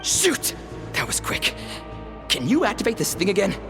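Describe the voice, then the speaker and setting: A woman's voice: medium pitch, big pitch swings, normal speed, close to an everyday voice. A woman voicing a bossy, impatient female crook in a video game, snappy and scolding, with music behind her. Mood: urgent.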